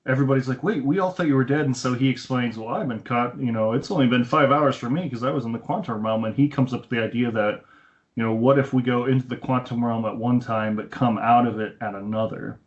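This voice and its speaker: A man's voice: mid-range voice, steady tone, fast. Man on a dull lo-fi internet call. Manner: explanatory, retells scenes with quoted dialogue. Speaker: podcast co-host. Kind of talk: casual film discussion. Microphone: Skype call.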